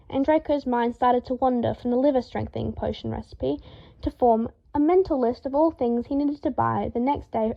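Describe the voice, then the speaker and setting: High-voiced woman narrating a story. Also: creaky, dull audio. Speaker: fan fiction narrator. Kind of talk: expressive scripted story narration.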